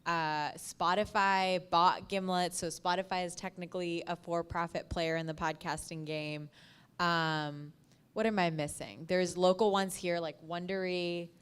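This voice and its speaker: A woman's voice: on the low side, fairly even intonation, measured pace, fairly bright tone, smooth. An American woman speaking as a panelist, explaining things in an orderly, conversational way.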